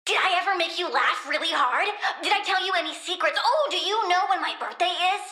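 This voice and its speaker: Video game villainess, young plant-human girl: American, very high, bright voice, curious, flippant, petulant edge. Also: brisk, roomy sound, full character voice. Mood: curious, chirpy. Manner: girlish, expressive, blunt, flippant. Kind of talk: animated video game voice acting.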